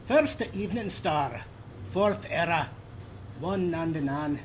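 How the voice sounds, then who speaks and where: A man's voice: a little high, animated, slow. A man reading a game journal aloud as an earnest, fussy fantasy scholar, formal and emphatic. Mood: intrigued, pondering. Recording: clear background noise under voice.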